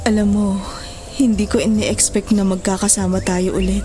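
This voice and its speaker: A Filipino woman playing a gentle, apologetic mother in an audio drama, speaking hesitantly and with emotion. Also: mid-range voice, little pitch movement, normal speed, close to an everyday voice. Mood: grateful, tender. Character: gentle remorseful mother who gives up her baby. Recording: dramatic music bed behind the voice.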